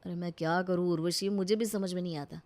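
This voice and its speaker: A woman's voice: on the low side, fairly even intonation, fast, a little mellow, natural voice. A woman voicing a frustrated young husband caught between mother and wife in a cartoon family story, exasperated and reasoning. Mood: weary frustration. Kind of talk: Hindi animated family story acting.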